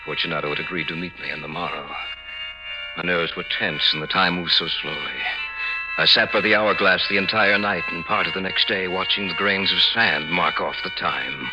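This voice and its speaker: An actor playing a scheming, falsely courteous gentleman in a 1950s radio drama, measured and theatrical, on a noisy old broadcast recording. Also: sits low, fairly even intonation, loud music behind the voice, lightly acted. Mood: brooding, tense. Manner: measured, smoothly polite, theatrical. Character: scheming, falsely courteous vengeful gentleman, narrating his crime.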